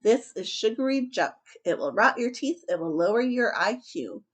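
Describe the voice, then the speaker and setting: A woman's voice: on the high side, big pitch swings, moderate pace. A woman reading a storybook as an exasperated, strict father, scolding firmly and emphatically. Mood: disapproving.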